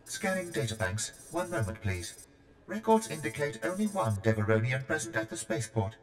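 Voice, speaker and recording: An actor playing a polite spaceport traffic droid in a video game, speaking in formal, procedural phrases. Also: male voice, fairly low pitch, very animated, normal speed, echoey room. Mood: formal, helpful.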